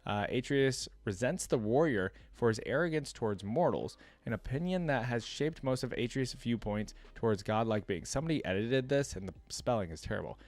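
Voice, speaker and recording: A man hosting a reaction video, reading aloud and adding informal asides as he thinks out loud. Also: mid-range voice, animated, fairly quick, few pauses, no room sound. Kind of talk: casual commentary, reading wiki text aloud with asides.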